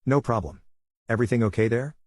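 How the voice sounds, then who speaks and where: A man voicing a measured, restrained, career-minded engineer husband in a narrated story. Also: medium pitch, darkish tone, no room sound, studio quality, close to an everyday voice. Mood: measured, reserved.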